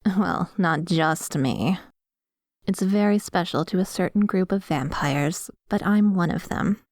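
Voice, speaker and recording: A woman playing a dominant, possessive female vampire in an ASMR roleplay, talking in a coy, deliberate and quietly menacing way. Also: fairly low pitch, dry recording, studio quality, close to an everyday voice.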